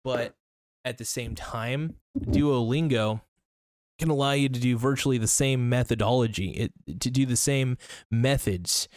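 A man's voice: medium pitch, unhurried, darkish tone, no room sound, studio quality. A young man hosting a casual solo podcast, rambling through his thoughts with lots of fillers and restarts. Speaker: podcast host.

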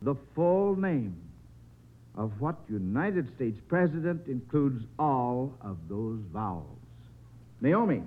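A man's voice: mid-range voice, sing-song, takes it slowly, narrow, tinny audio. A man hosting a 1950s radio children's quiz in a folksy, encouraging, informal way, on an old radio recording. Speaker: quiz show host.

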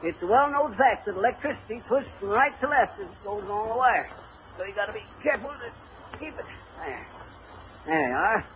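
An American actor playing a boastful, bumbling husband with folksy, cocky patter in an old radio comedy. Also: male voice, sits high, expressive, a little fast, a bit bright. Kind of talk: 1930s-40s radio comedy acting. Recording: dull, noisy old radio recording.

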